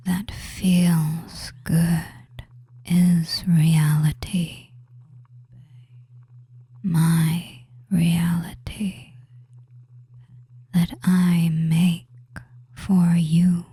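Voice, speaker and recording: Very slow, breathy whispered hypnotist voice. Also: medium pitch, warm tone. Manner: very slow, drawn-out short phrases with long pauses, coaxing and commanding. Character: seductive, commanding, soothing witch hypnotist. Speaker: audio roleplay performer. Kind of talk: whispered hypnosis audio roleplay, slow guided trance.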